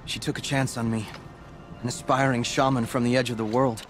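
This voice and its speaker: An actor playing a humble, earnest apprentice shaman in a video game, speaking in a measured, solemn way. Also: mid-range voice, little pitch movement, soft background music, natural voice. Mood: humble, regretful. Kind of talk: video game dialogue acting.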